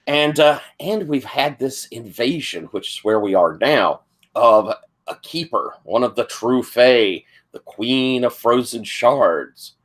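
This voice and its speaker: A hoarse, slightly sniffly man serves as game master for a tabletop roleplaying game. He talks casually and rambles, stopping often on fillers. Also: medium pitch, lively intonation, fairly bright tone. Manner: rambling, halting with many fillers.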